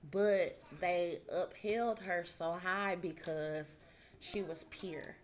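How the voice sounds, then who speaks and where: A woman's voice: on the low side, slow, slight background noise. A woman talks casually with family, explaining things conversationally. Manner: conversational, explaining, quoting others' remarks. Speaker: granddaughter recording her grandmother.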